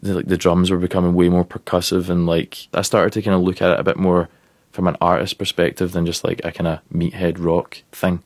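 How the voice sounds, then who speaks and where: A man in his thirties with a Glasgow accent, a musician chatting casually in an interview, rambling and relaxed with lots of fillers. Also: sits low, fairly quick, few pauses, dry recording. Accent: Glaswegian. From Scotland. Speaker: musician guest.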